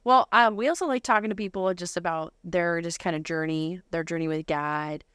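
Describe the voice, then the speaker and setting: A woman's voice: lowish voice, fairly even intonation, a little fast, fairly bright tone, smooth. A woman in a casual conversational interview, speaking in an informal, effusive way.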